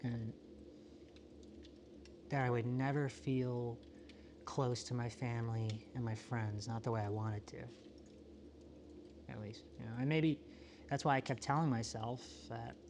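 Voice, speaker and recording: A man playing a solitary, introspective apocalypse survivor in a found-footage monologue, talking in a halting, self-questioning, confessional way. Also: medium pitch, fairly even intonation, background noise, natural voice. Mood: weary, reflective. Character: solitary, world-weary, introspective male survivor of a quiet apocalypse.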